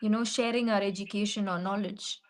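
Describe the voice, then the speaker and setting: A young woman from Kerala with an Indian English accent, an English tutor speaking in a measured, reflective way in a practice conversation. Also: medium pitch, fairly even intonation.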